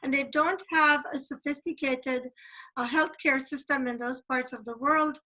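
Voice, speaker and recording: Woman, from Guyana, high pitch, bright tone, chatty, interviewer. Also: fairly even intonation, moderate pace, low-quality recording. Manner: chatty, earnest questioning. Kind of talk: video call interview. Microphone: video call.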